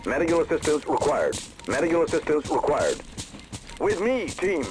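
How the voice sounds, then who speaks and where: Curt soldier barking orders over battle noise. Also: male voice, on the high side, sing-song, normal speed. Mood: urgent. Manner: clipped, terse commands. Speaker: military radio voice in a video game. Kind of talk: shouted game voice commands. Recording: game audio under loud battle noise.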